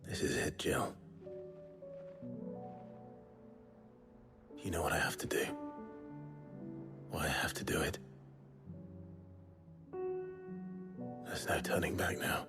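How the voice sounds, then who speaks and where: Resolute, battle-worn game hero; deep, restrained voice, prominent score behind. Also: male voice, fairly even intonation, moderate pace, in a whisper. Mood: somber. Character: resolute, weary male warrior and sworn protector. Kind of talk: cinematic game voice acting. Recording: orchestral music behind the voice.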